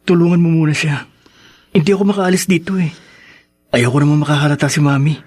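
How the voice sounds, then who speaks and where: An actor plays a spineless young rich man in a radio drama. His voice is fairly high for a man, pleading and evasive, with music behind it. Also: fairly even intonation, quick pace, darkish tone, lightly acted. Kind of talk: Tagalog radio drama acting.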